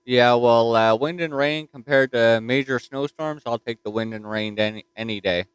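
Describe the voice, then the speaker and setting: A man with a Newfoundland accent chatting casually as a ham radio operator from his home station, relaxed and easygoing. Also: mid-range voice, moderate pace, clean tone, no echo. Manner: easygoing, says "roger that".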